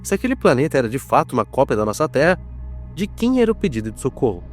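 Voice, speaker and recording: A man with a Brazilian accent narrating a scripted horror mock documentary in a solemn, measured, dramatic manner. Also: mid-range voice, sing-song, soft background music.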